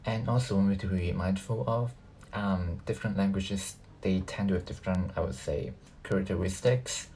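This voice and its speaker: A man who natively speaks Cantonese, giving casual reaction commentary as a video host, haltingly thinking aloud. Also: sits low, steady tone, dark tone, a little hiss or hum.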